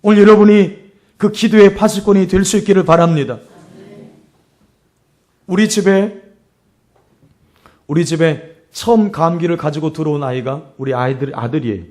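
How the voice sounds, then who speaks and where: High-pitched, hoarse male preacher. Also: moderate pace, long pauses, darkish tone. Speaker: pastor preaching. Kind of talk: sermon with storytelling and exhortation.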